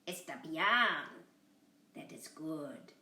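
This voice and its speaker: A woman's voice: low voice, lively intonation, bright, forceful tone, pitched below the performer's own voice, heavily acted. A woman voicing a hungry, menacing but gullible coyote in a storybook, with a growling delivery. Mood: satisfied, scheming. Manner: growling, slow and drawn-out. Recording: roomy, echoey, far from mic.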